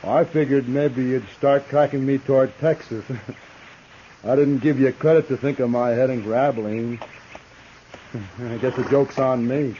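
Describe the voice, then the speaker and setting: An American actor playing a cynical, laconic killer with an unhurried drawl in a 1950s radio western. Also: male voice, mid-range voice, steady tone, mellow, natural voice. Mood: wry. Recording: muffled, noisy 1950s radio recording.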